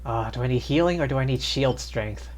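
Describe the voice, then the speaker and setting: A man's voice: mid-range voice, brisk, a little hiss or hum. A man giving casual live gameplay commentary as a streamer, thinking aloud as he goes. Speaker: game streamer. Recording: game music behind the voice.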